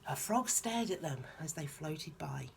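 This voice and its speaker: Low female voice, soft-spoken storyteller, children's picture book, chatty musing asides, noticeable background noise. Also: lively intonation, measured pace, gravelly.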